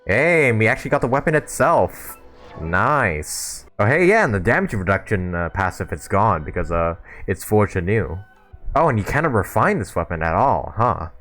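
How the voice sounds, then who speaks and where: A man with a fairly low voice chats through a video game as he plays it. He is casual, slangy and joking in his commentary, with faint game music underneath. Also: sing-song, moderate pace, a bit bright. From Canada. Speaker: gaming YouTuber. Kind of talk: casual let's-play commentary.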